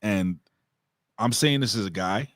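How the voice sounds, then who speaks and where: A man with a New York accent hosting a casual wrestling review chat, excitable and swearing freely. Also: on the low side, measured pace, no room sound, clean studio sound. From Puerto Rico. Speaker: show host.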